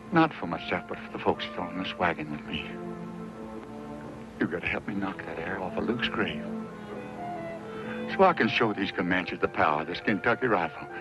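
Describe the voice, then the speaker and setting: A man's voice: low voice, moderate pace, long pauses. An actor playing a plainspoken, devout frontier rifleman with an American accent in a radio western. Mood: prayerful, earnest. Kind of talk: old-time radio western acting. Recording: dull old radio recording, music behind.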